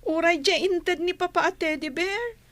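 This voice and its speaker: A woman's voice: extremely high pitch, normal speed, fairly bright tone, no echo. A woman acting a sad young schoolgirl in an Ilocano radio drama. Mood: plaintive. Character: sad young schoolgirl daughter, dependent on her nanny.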